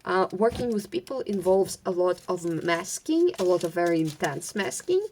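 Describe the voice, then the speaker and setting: A young woman from Ukraine with a Ukrainian accent, chatting casually and rambling at home while sorting craft supplies, halting with many fillers and restarts and a stutter at times. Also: lowish voice, animated, a little mellow. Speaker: vlogger.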